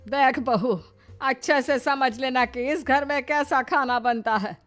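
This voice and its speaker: Woman voicing a high-pitched, complaining sickly mother-in-law. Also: a little slow, a bit bright. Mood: scolding. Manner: whining, scolding. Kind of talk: cartoon moral story acting. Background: faint music.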